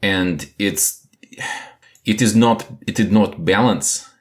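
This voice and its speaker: A man running a tabletop game as game master, describing what the other figures do. He speaks in a very low voice at an unhurried pace, pausing and restarting often. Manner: hesitant, many restarts and fillers.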